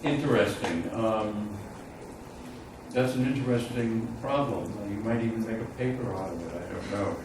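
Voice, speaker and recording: A man gives an informal university lecture in a very echoey room, picked up at some distance from the microphone. He speaks haltingly at a middle pitch, thinking aloud and frank about what he is unsure of. Noticeable room noise sits around his voice. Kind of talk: informal classroom lecture with student questions. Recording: roomy echo, distant mic, loud room noise.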